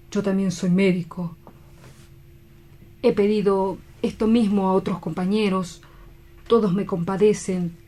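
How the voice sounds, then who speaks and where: A woman playing a grief-stricken, guilt-ridden doctor in a radio drama, solemn, halting and imploring. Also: medium pitch, mellow, lightly acted. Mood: pleading.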